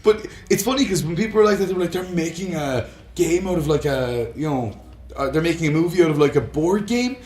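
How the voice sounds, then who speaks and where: A man's voice: a little high, expressive, a little fast, a little mellow. Man chatting with rambling enthusiasm, roomy echo. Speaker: podcast co-host. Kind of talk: casual chat about film and games.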